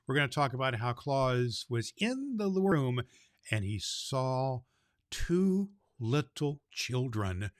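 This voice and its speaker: A man's voice: mid-range voice, fairly bright tone, no echo, close to an everyday voice. Guy being jolly old santa hosting a kids show, folksy and gushing, close dry sound. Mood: cheerful, inviting. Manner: folksy, effusive, addresses children directly. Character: Santa Claus, kindly jolly old man hosting for children. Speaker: host. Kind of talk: children's show monologue.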